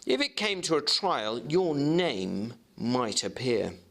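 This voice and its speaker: A man's voice: mid-range voice, very animated, slow, vocal fry, a bit bright, full character voice. A man voicing a grave, probing lawyer who questions a friend directly, in a dramatic book reading. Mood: wary. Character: grave, probing male lawyer, loyal but suspicious friend. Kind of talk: dramatic audiobook character reading.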